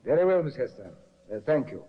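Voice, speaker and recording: An actor playing a formal prosecutor questioning witnesses methodically in an old radio drama, heard through an echoing old broadcast. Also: male voice, medium pitch, sing-song, fast talker, lo-fi sound, natural voice. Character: formal male Crown prosecutor. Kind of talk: 1950s radio acting.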